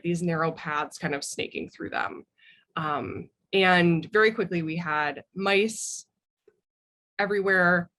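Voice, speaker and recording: A woman's voice: fairly low pitch, a bit bright. A young adult woman from Minnesota with an American accent, giving personal answers as an interview guest. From Minnesota, United States. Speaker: podcast guest, memoirist.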